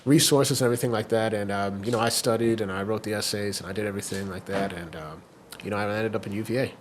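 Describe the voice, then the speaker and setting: A young man from Washington, D.C., a guest on a podcast, telling his story in relaxed, rambling chat with plenty of fillers. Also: lowish voice, fast talker, a little hiss or hum. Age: young adult. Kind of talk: casual interview chat.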